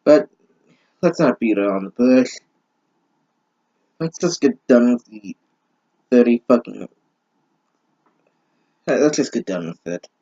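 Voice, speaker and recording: A man's voice: mid-range voice, expressive, slow, pauses often, dark tone, muffled recording. A man giving a mocking reading of fan fiction, breaking in with exasperated, sarcastic, sweary asides. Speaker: host of a bad-fanfiction reading series.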